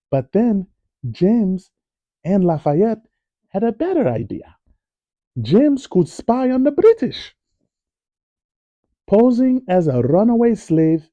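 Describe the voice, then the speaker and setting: A man narrates a picture-book biography for young listeners, with a deep, dark tone and a lively rise and fall in pitch. The sound is dry and a bit muffled, like a lo-fi home recording. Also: no echo. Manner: slow, clear storytelling delivery. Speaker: read-aloud narrator. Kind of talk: children's book read-aloud.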